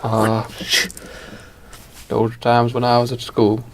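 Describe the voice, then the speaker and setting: A man's voice: medium pitch, completely flat delivery, slow, slight background noise, natural voice. A man playing a simple, grumbling, cursing labourer who mutters to himself in a comic amateur radio play. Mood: wistful.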